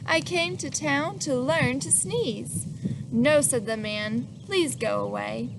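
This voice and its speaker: Woman storybook reader, high and unhurried, lively with little listeners, noticeable background hiss. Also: gravelly. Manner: animated, asks the listener questions, acts out sneezes. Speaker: children's book reader. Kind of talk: reading a picture book aloud to children.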